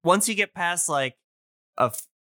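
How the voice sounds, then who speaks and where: Bright, clear-voiced man chatting. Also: sits high, normal speed, dry recording, clean studio sound. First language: English. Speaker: podcast host explaining radio history. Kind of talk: casual podcast chat.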